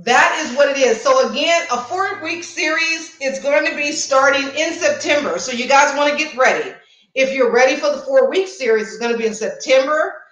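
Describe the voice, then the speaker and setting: Chatty high-voiced woman livestream host. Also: a bit bright, reverberant, poor audio. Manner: chatty, playful, addresses viewers directly. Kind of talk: casual livestream chat with promotions.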